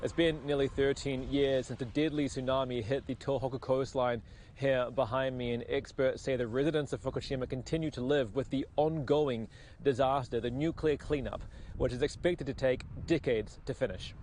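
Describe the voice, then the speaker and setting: A male television news correspondent reporting in broadcast style. His voice sits at a middle pitch for a man. A little ambient noise runs faintly behind his words. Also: fairly even intonation, normal speed, a little mellow. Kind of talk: news reporting, pieces to camera and packaged narration.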